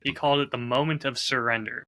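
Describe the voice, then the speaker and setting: Very bright-voiced man in podcast chat. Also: mid-range voice, fairly even intonation, fast. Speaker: podcast host and audio drama creator. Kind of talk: casual reflective podcast conversation.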